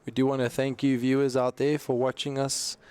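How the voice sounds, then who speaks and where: A man commentating on an esports football match as co-host, with lively play-by-play calls. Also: medium pitch, fairly quick, clean tone, no room sound. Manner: lively, rising excitement at chances.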